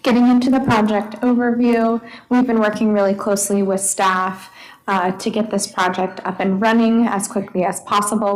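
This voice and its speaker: A soft-spoken woman, a planning consultant, giving an upbeat explanatory presentation to a city board. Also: medium pitch, rough recording.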